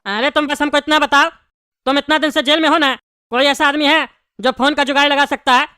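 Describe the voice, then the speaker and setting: A high-voiced performer playing a hot-tempered, scheming bald father in jail, scolding in Bihari-style Hindi for a comedy cartoon. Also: steady tone, a little fast, pushed and bright, no room sound, clean studio sound. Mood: insistent. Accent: eastern Indian (Bihari-style) Hindi. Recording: clearly noisy background.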